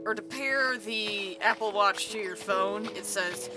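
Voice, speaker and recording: A woman streamer with a rough voice, chatting casually and rambling while she plays, swearing now and then, into a desk microphone. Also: mid-range voice, unhurried. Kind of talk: casual live stream chat while gaming. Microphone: desk microphone with mute button. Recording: music playing under the voice. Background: background music.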